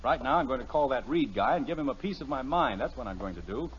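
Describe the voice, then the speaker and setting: A man's voice: mid-range voice, animated, fast, lightly acted. A man playing an exasperated, sarcastic middle-aged suburban father in a 1950s radio comedy. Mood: irritated lecturing. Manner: blustering, sarcastic. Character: exasperated, grumbling suburban family father, secretly soft-hearted. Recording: muffled, noisy 1950s radio recording.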